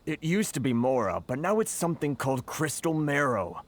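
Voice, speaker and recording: An actor playing a weary, courteous and aggrieved merchant in a video game, articulate and full of complaint. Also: male voice, fairly high pitch, sing-song, fairly quick, a little hiss or hum. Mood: frustrated. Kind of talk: video game cutscene acting.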